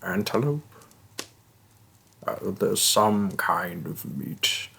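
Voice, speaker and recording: A man playing a hulking, patient orc shaman and healer in a fantasy roleplay audio, speaking in a gravelly, breathy voice with a slow, deliberate and reassuring delivery. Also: expressive, bright, forceful tone, slight background noise, exaggerated voice. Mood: gently caring. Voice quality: gravelly, husky, breathy low voice. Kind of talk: fantasy roleplay audio acting, addressing the listener.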